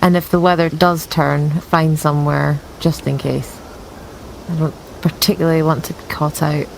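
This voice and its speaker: A woman's voice: sits low, little pitch movement, normal speed, vocal fry, a little hiss or hum, low-quality recording. A woman speaking as a player in a tabletop game, slipping casually into in-character roleplay.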